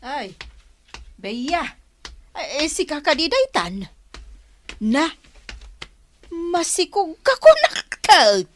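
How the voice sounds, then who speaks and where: A woman acting a scandalized Filipino mother in a radio drama. She speaks slowly in a highish voice that swoops widely, stretching out her gossipy exclamations. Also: very animated, a bit bright, slight background noise, exaggerated voice. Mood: startled, flustered.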